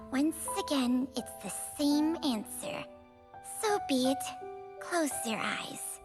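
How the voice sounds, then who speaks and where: This is an ancient female snake spirit in a video game, high-pitched and drawn out with slow, lingering phrasing. Her tone swings widely as she teases, always languid and world-weary. Music plays under her. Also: big pitch swings, heavily acted. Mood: resigned.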